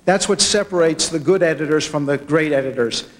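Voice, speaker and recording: A man giving an acceptance speech, somewhat halting, with repeated words. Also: fairly high pitch. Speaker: documentary producer accepting an award. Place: large hall at an awards ceremony. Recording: echoey large hall with long reverb.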